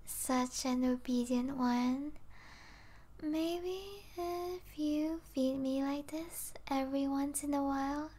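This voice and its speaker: Slow, high-voiced seductive vampire princess, acted by a woman. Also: steady tone. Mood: tender, possessive. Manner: slow, teasing, soft delivery with intimate whispers. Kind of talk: ASMR roleplay acting.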